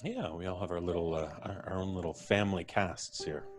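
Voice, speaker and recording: A man's voice: low-pitched, very animated, moderate pace, soft background music. A man hosting a live-streamed show, chatting casually and jokingly as he introduces his guests. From Canada. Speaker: host. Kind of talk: casual livestream chat.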